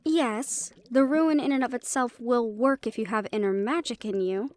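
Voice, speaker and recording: A woman's voice: on the high side, moderate pace, darkish tone, lightly acted. A woman playing a helpful, knowledgeable magic-shop keeper in a fantasy audio roleplay, explaining things with light teasing. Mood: explaining, earnest.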